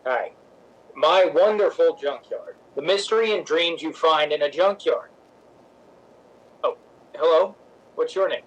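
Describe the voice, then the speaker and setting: A man as a brash, higher-voiced stage director. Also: unhurried, pauses often, poor audio. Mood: amused. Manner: wisecracking, emphatic, lecturing. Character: brash, teasing, opinionated male stage director. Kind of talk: recreated 1950s radio drama acting.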